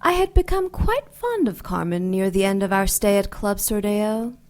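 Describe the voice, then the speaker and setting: A woman playing a seductive, vain ancient dark angel in an audio drama, teasing and theatrical, savoring her words with amused menace. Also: mid-range voice, animated, moderate pace, natural voice. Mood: needling, condescending.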